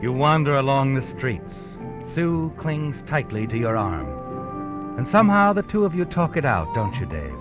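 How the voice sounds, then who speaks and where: A knowing, dramatic radio narrator. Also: male voice, mid-range voice, sing-song, measured pace. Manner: second-person, knowing, dramatic. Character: mysterious male narrator who speaks to the hero. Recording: muffled 1950s radio with music under it.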